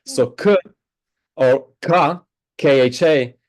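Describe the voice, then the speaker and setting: A man speaks at a mid-range pitch in an interview. He sounds like a meditation teacher, explaining things slowly and thoughtfully, and he keeps adding "right?" to check that he is understood. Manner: slow, reflective, explaining with many "right?". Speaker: spiritual teacher. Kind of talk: interview answers. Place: home on a Hawaiian island.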